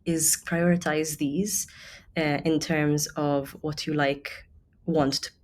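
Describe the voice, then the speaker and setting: Low-voiced Maltese woman, chatty tutorial. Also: flat delivery, takes it slowly, a little mellow, a little hiss or hum. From Malta. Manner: informal, chatty, emphatic on key words. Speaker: stage actor giving advice to self-represented actors. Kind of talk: casual tutorial walkthrough.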